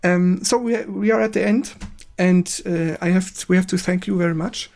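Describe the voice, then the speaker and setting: Casting: female stream host, native German with German accent, creaky voice, chatty, giggly, hesitant in English. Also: lowish voice, a little mellow, faint music behind. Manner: halting, searching for English words, giggly. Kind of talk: casual livestream chat and interview.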